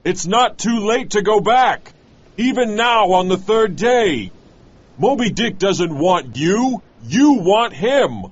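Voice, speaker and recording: A man's voice: sits high, expressive, takes it slowly, highs cut off, pitched above the performer's own voice, exaggerated voice. A man doing a pleading, anxious first mate. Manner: pleading, raised voice.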